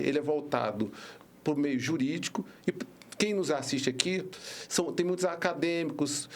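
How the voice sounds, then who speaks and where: A podcast host asks questions in a friendly, easy way, with lots of laughter. The voice rises and falls a great deal, full of life. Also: medium pitch. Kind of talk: interview questions.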